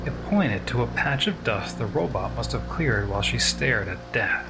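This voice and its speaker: A man narrates dramatic fiction in a mid-pitched voice. His delivery moves around expressively in pitch, and music plays strongly behind him throughout. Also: animated, normal speed, gravelly. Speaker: story narrator.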